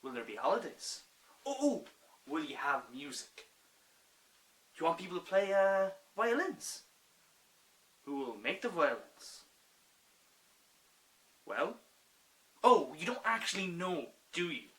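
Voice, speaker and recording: An actor playing an insistent, sarcastic peacemaker in a dramatic monologue, full of rhetorical questions. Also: male voice, a little high, big pitch swings, takes it slowly, long pauses, pushed and bright, full character voice, rough recording. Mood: mocking, impassioned. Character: impassioned, pleading peacemaker challenging a rebel with sarcasm.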